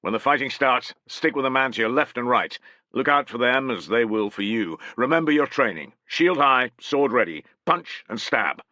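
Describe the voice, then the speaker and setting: A man's voice: mid-range voice, expressive, moderate pace, sharp tone, no room sound, voice pushed up. A man voicing a battle-hungry Roman centurion, bellowing rousing orders to his soldiers in an audiobook.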